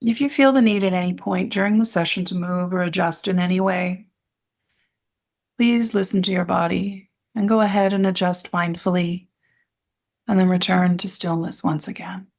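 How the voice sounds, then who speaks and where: A woman with a lowish voice and a noticeable fry guides a meditation. She speaks as an instructor, in soothing phrases broken by pauses, giving gentle instructions. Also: fairly even intonation.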